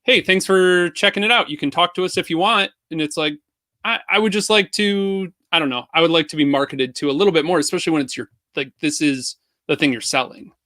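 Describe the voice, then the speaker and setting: A man's voice: fairly high pitch, fairly quick, pushed and bright, no echo, studio quality. A man speaking as a guest marketing expert, analysing casually and thinking aloud in a conversational way.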